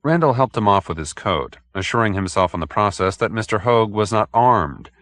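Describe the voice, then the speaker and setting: A man reads fiction as an audiobook narrator. His voice sits low, moves through a wide, animated range of pitch, and is recorded dry and close. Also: normal speed, no room sound.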